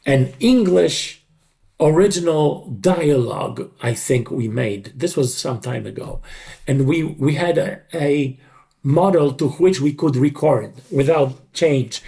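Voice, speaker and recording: Casting: man, slightly high creaky voice, slow deliberate interview delivery. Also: mellow. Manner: deliberate, halting, emphatic short phrases. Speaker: dubbing creative director. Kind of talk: reflective interview talk.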